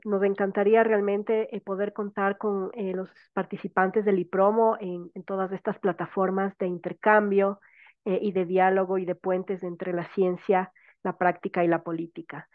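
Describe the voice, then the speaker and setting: A woman's voice: mid-range voice, dry recording. Moderating woman on a dry tinny line. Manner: polite, reads introductions. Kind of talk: moderating an online event. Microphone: video call. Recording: dry tinny phone-like audio.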